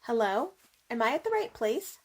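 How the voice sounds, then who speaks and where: Woman as a high-voiced, polite newcomer. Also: sing-song, moderate pace, very noisy. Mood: tentative. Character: polite, tentative newcomer, a contest winner. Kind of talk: radio comedy sketch.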